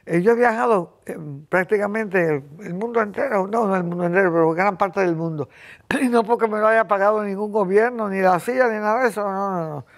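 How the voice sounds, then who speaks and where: Older Cuban woman host in her 80s, passionate and rambling, keeps clearing her throat. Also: mid-range voice, fairly quick. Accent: Cuban Spanish. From Cuba. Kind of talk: casual conversation.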